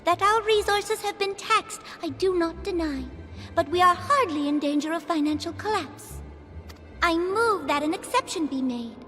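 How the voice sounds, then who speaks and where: A woman's voice: very high-pitched, expressive, normal speed, a bit bright, big-room sound, faint music behind. A woman voicing a young, regal girl ruler who speaks formally and graciously.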